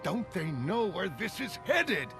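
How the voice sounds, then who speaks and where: An actor playing an agitated, opinionated city news vendor, ranting in a streetwise way in a comic-book drama. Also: male voice, high-pitched, sing-song, takes it slowly, full character voice. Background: music.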